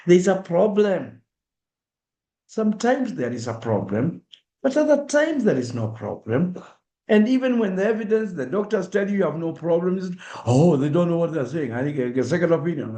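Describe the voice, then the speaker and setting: A man's voice: sits high, mellow. Kenyan man speaking in an echoey room. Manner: earnest and direct, acts out examples in first person. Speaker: speaker at a diaspora awareness event. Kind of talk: public talk.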